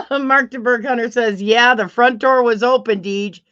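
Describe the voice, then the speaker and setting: Middle-aged woman host, deep voice, unhurried and chatty, slightly dull lo-fi stream sound. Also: a bit bright. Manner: chatty, laughs often, reads chat comments aloud. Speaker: livestream host. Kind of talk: casual livestream chat.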